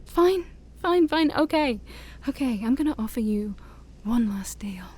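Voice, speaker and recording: A woman voicing a shy, haughty, ancient demon princess in a soft-spoken ASMR roleplay, breathy and often near a whisper, with formal, theatrical wording and awkward hesitations. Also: a little high, animated, unhurried. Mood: flustered, indignant, then shyly offering.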